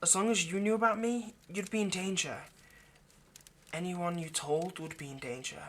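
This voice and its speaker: A man voicing an ageless vampire lover in an intimate audio roleplay, possessive yet remorseful, speaking in a halting, earnest, pleading way. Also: sits high, fairly even intonation, fairly bright tone. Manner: halting, earnest, pleading, dropping to near-whisper.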